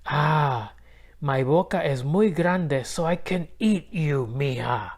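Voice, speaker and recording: Man playing a sly hungry storybook coyote, unhurried. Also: a little high. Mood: menacing, sly. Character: sly hungry male coyote villain, snapping and snarling. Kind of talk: children's storybook read-aloud.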